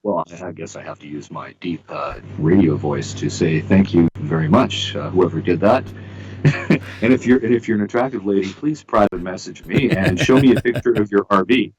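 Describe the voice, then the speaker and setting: A somewhat low-voiced middle-aged man from Canada, chatting as a guest in a casual interview. He hesitates often, restarting sentences and padding with fillers, then lands a dry joke. Faint hiss sits under his voice. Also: moderate pace.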